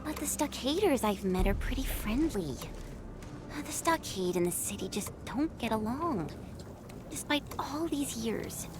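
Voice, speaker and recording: Young female game squadmate: very high-pitched, earnest, excitable, swooping delivery over music. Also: a little slow, noisy background. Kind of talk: video game voice acting.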